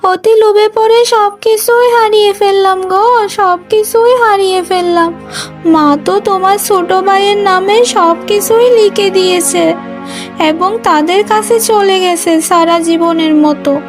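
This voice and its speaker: A woman's voice: extremely high pitch, unhurried, over music. A woman voicing a greedy elder daughter-in-law in a melodramatic cartoon, wailing and lamenting with regret. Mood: wailing despair.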